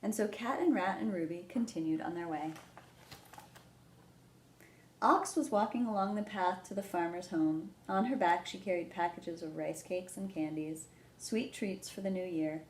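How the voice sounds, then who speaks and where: A woman hosting a children's storytime, explaining things simply and gently and reading a picture book aloud. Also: fairly low pitch, normal speed. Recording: roomy echo, background noise.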